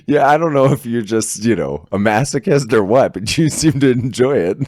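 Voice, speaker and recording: A man's voice: medium pitch, animated, normal speed, darkish tone, no room sound, studio quality. A man co-hosting a podcast in casual chat, teasing his partner and laughing often. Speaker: podcast co-host.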